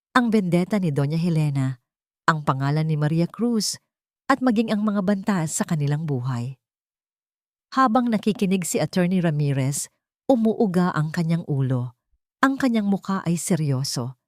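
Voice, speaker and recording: A woman narrates a scripted romantic drama. Her voice sits a little low. The recording is dry, with hardly any room sound. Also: a little mellow, no echo. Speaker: story narrator.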